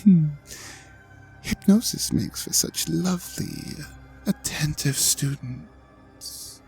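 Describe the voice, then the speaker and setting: An ancient dragon god of an audio drama, acted out by a man, vain, suave and quietly menacing. He drawls at a very unhurried pace with a gravelly creak, slipping into breathy murmurs. Faint music plays behind his theatrical, mock-courteous speech. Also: a little high, expressive, full character voice. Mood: boastful, languid. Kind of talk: scripted audio drama monologue addressed to the listener.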